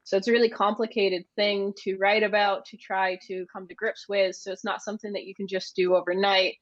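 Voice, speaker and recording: A woman's voice: mid-range voice, flat delivery, a little fast, dry recording. American woman, dry and slightly muffled. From United States (Maine). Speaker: interview guest, agency managing director. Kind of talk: conversational interview answers.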